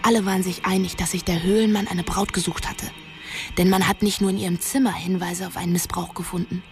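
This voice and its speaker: A woman's voice: on the low side, fairly even intonation, brisk, soft background music, close to an everyday voice. An actress playing a determined young woman with a secretive streak, in naturalistic radio drama dialogue. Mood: hushed, intriguing.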